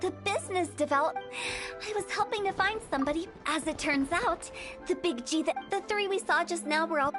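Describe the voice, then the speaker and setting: A very high, playful young female game character, an eccentric funeral director. She talks in a breezy, theatrical way with a cheeky streak. Clear music plays behind her. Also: moderate pace. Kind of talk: video game character voice acting.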